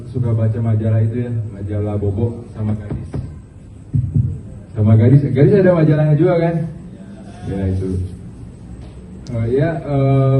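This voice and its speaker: A man, doing halting, filler-heavy stand-up at an open mic and slipping in English phrases. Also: medium pitch, a little hiss or hum. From Indonesia. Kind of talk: stand-up comedy. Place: small open mic venue, indoors. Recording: roomy, distant, tinny phone-like audio.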